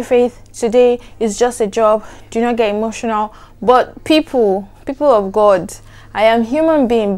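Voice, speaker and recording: Soft-voiced woman chatting emphatically. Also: a little high. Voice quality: soft soothing voice. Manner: animated, emphatic, repeats "trust me". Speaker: vlogger. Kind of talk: casual chat to camera.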